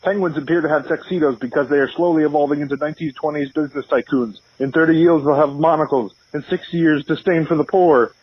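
A man playing a stubborn prank caller who recites absurd facts in a deadpan announcer manner over a lo-fi phone-in line. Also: fairly high pitch, fast, few pauses, husky, no room sound. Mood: deadpan, insistent.

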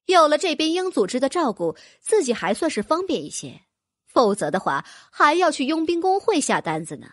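High, lively woman narrating a story. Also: normal speed, dry recording. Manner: lively, expressive reading. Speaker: audiobook narrator. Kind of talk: scripted narration.

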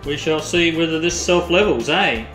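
A man's voice: on the high side, moderate pace, fairly bright tone, soft background music. An older Australian man giving a chatty, folksy vlog tour of his sailboat cabin, recorded on an action camera. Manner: chatty, folksy, thinking aloud. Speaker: sailing vlogger. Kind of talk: casual vlog narration.

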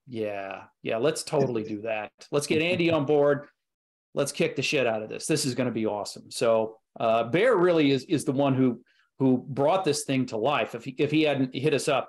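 A middle-aged American man from Kansas City telling stories casually as an interview guest. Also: medium pitch. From Kansas City, United States. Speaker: guest, writer and content strategist. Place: indoor room on a video call.

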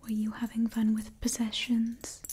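A woman in her twenties doing an ASMR roleplay in a soft, breathy whisper, close to the mic, soothingly repeating her phrases. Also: on the high side, completely flat delivery, measured pace, slight background noise. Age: 20s. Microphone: close-up ASMR microphone.

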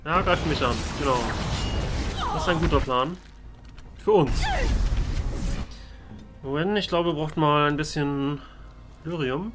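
A man's voice: a little high, unhurried, pauses often, over music. A man giving playfully ironic, in-character commentary as a let's play host. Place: voice-over on game footage.

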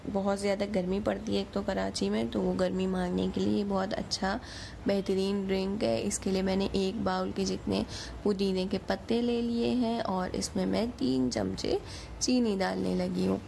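A woman with a Pakistani accent talks through a recipe in a casual, chatty way. Her voice is heavily creaky at an average pitch for a woman. There is a faint noise behind her in the home recording. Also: steady tone, normal speed, mellow, low-quality recording. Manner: chatty, informal. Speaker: home cook, recipe channel host.

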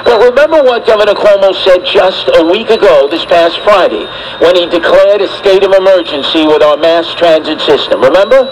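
A man's voice: high voice, brisk, hardly any pauses, a little hiss or hum, poor audio. A man delivering scripted radio commentary in a punchy, sardonic manner, heard through a small transistor radio.

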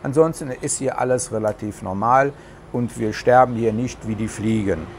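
A man's voice: mid-range voice, moderate pace, a little hiss or hum. A middle-aged man with a regional German dialect, chatting casually to camera with many hesitations, recorded outdoors on a terrace with a lapel mic. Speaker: vlogger. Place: covered terrace outdoors in the tropics.

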